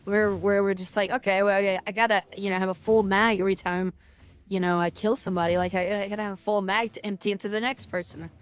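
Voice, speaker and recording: A woman talking through her game as a streamer, rambling and filling her talk with "like" and restarts, with a loud voice. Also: medium pitch, little pitch movement, fast, clear, resonant tone. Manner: rambling, lots of fillers and restarts. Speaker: gaming streamer. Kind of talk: casual game commentary.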